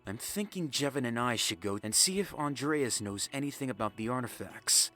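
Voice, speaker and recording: Man playing a calm, level-headed young planner. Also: mid-range voice, normal speed, faint music behind. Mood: determined. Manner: calm, reasoning. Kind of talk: amateur visual novel voice acting.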